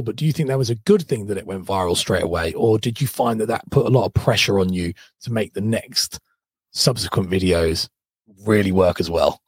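A man with a hoarse voice hosting a podcast, asking eager, rambling questions in casual interview chat. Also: medium pitch, a little mellow, no room sound, clean studio sound. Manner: enthusiastic, rambling questions with many restarts. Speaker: podcast host.

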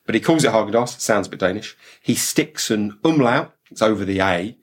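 A man, co-hosting a podcast and explaining behavioral science in a measured way. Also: on the low side, animated, slightly rough.

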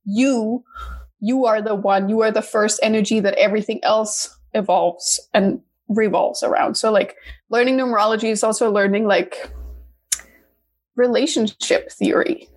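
A woman's voice: medium pitch, moderate pace. A young woman from Denmark, a podcast guest chatting casually and acting out her own reactions in quotes. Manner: lively, acts out her own past reactions in quotes, laughs often. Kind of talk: casual interview chat.

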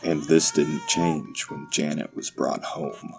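A man with an extremely low voice narrates a scripted horror tale. Music plays clearly behind him throughout. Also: level tone, slow.

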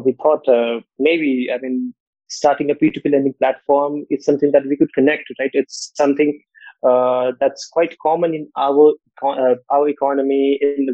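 A man from Nepal, a podcast guest who speaks in a measured way and often tags his sentences with "right?". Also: mid-range voice, dry recording. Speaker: guest, fintech co-founder. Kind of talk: podcast interview. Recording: dull, dry lo-fi call audio.

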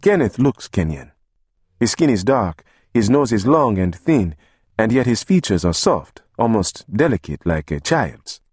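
Low, husky immigrant storyteller. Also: male voice, big pitch swings, unhurried, a little mellow, dry recording, studio quality, heavily acted. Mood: wry affection. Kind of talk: literary audiobook narration.

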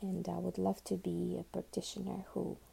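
Very gravelly, dark-voiced woman. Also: lowish voice, completely flat delivery, normal speed. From Uzbekistan. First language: Russian. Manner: soft and half-whispered, many ums and restarts. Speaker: nurse introducing herself to classmates. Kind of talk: unscripted self-introduction.

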